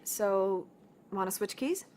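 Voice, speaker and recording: A woman playing a warm, candid woman in a naturalistic audio drama, speaking in an open, earnest, conversational way. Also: medium pitch, lively intonation, slow. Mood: brisk, cautious. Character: warm, candid woman caught up in a smuggling scheme.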